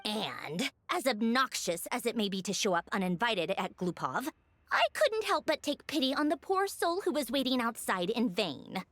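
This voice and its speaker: A woman's voice: on the high side, very animated, normal speed, a bit bright, no room sound. A woman playing a poised, teasing noblewoman in authority in a video game, haughty yet caring, with polished wry phrasing. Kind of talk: video game character acting.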